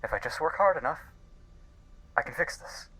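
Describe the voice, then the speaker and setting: A man playing an earnest, guilt-ridden scientist in an audio drama, speaking intensely and haltingly as if recording a log. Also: on the high side, lively intonation, pushed and bright, low-quality recording. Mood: guilt-ridden, determined. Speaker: voice actor. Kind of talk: dramatic audio-log monologue in a fiction podcast.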